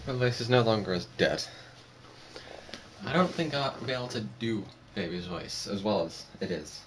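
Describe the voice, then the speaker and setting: A man streaming a game, chatting casually and rambling, his voice slightly raspy. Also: fairly low pitch, animated, moderate pace, noisy background. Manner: rambling, self-interrupting, laughs often. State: voice going raspy. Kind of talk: casual gaming chat. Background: background music.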